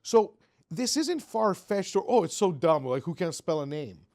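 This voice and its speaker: A man from Greece hosting a podcast interview, animated and building his points with rising emphasis. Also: sits high, moderate pace, no room sound, clean studio sound. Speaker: podcast host. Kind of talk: conversational interview.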